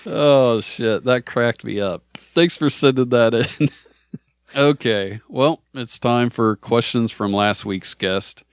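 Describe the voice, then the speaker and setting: A man's voice: medium pitch, slow, clean tone, no room sound, clean studio sound. An American man hosting a casual comedy podcast in an easygoing conversational way. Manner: laughs often while talking. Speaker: podcast host.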